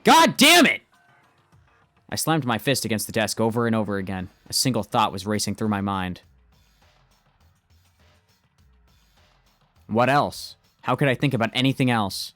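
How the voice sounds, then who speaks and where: An earnest, anxious schoolboy voiced by a man with a fairly low voice tells his own story. It is amateur visual novel narration, recorded dry over soft music. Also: no echo. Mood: panicked, angry. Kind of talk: scripted first-person visual novel narration, amateur fan dub.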